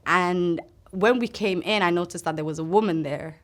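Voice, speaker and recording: A woman from Somalia gives personal testimony in a sit-down interview. Her voice sits fairly low. She talks in an earnest, halting way, pausing as she recalls things. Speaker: interview guest.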